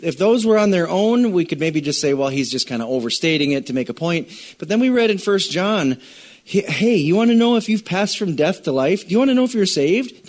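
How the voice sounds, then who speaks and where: A middle-aged man preaching a conversational sermon, with frequent fillers, restarts and sudden animated rises. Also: a little high. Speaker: pastor. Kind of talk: sermon teaching.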